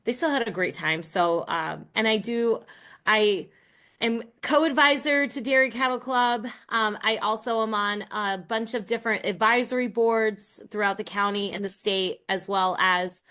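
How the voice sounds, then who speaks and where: Female American academic guest, middle-aged, average pitch, informal explanatory talk. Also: a little slow, bright, forceful tone. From Rhode Island and Massachusetts, United States. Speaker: guest, college professor and team coach. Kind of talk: conversational explanation.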